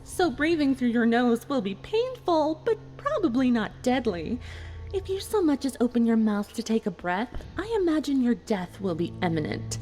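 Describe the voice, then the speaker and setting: A woman's voice: high-pitched, a little mellow. A woman voicing a coolly sadistic villain pony, mock-polite and taunting in a theatrical fan fiction drama. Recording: music behind the voice.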